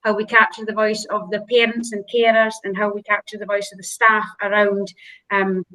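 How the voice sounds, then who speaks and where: A woman's voice: medium pitch, little pitch movement, normal speed, bright, forceful tone, low-quality recording. Woman with a Shetland accent on a call. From Shetland, Scotland. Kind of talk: video call discussion. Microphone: video call.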